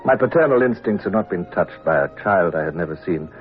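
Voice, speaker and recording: A brooding British naval captain. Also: male voice, deep voice, animated, soft background music. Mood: reflective. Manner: introspective, measured and earnest. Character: reflective middle-aged male naval captain. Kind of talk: 1950s radio drama first-person narration. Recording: tinny old radio broadcast recording.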